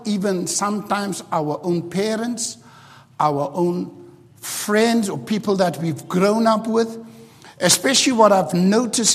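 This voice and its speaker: Afrikaans-speaking man preaching in a reverberant hall. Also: high voice. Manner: starts quiet and halting, builds to loud emphatic preaching. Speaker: pastor. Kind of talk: sermon.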